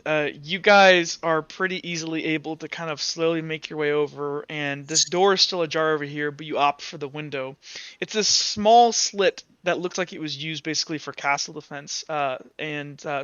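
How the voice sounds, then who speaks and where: A man narrating a tabletop role-playing game as game master, improvising in a casual, halting way. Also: on the high side, pushed and bright, clean tone, dry recording, muffled recording. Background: faint music.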